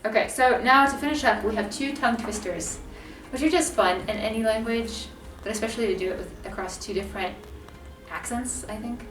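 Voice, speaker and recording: A woman's voice: fairly high pitch, brisk, fairly bright tone. Chatty young American woman in an echoey room. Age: young adult. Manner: chatty, laughs often, many "like" fillers. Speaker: co-host. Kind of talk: casual chat. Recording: echoey room, mic at a distance, music behind.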